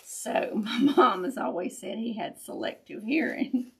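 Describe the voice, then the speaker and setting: A woman with a raspy voice, chatting casually with viewers as she hosts a live craft tutorial. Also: a little high, measured pace. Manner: chatty, laughs often, talks to viewers as y'all.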